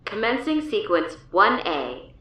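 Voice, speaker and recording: A woman's voice: medium pitch, sing-song, a little slow, bright, forceful tone. A woman playing a courteous robotic combat simulation system, speaking formally like an announcement in an audio drama. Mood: formal, detached. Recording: heavy echo, distant.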